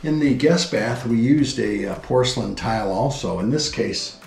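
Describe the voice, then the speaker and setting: A man's voice: medium pitch, animated, normal speed, creaky, darkish tone. A man working as a home builder explains a house on a tour in a matter-of-fact, informative way. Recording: roomy indoor echo with music behind. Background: background music.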